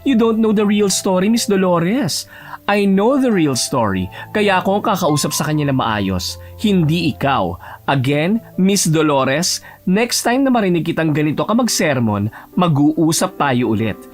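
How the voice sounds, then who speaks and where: Male actor as gruff, composed executive, romance audio drama. Authoritative, lively inflection, code-switches into English, soft music bed. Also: a little high, a little slow. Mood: firm, reprimanding. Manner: formal, authoritative, switches between Tagalog and English.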